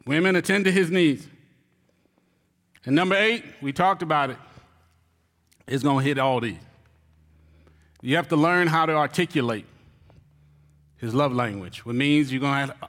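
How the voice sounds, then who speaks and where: Animated preacher man in a reverberant hall. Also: a little high. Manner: animated, comic storytelling with cries and shouts. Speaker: pastor. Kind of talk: sermon with storytelling. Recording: long reverb of a large hall.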